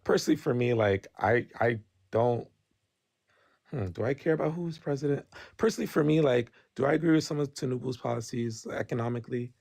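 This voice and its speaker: A man's voice: mid-range voice, a little fast, vocal fry, darkish tone, no echo. A Nigerian-born man, talking bluntly in a casual podcast debate and tagging his points with "right?". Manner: candid, blunt, frequent restarts and "right?" tags.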